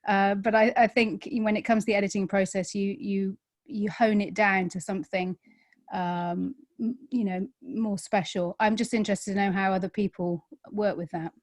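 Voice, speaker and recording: A woman writer asking a question casually on an online call, in a hesitant, halting way. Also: medium pitch, fairly even intonation, no room sound. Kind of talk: casual spontaneous talk.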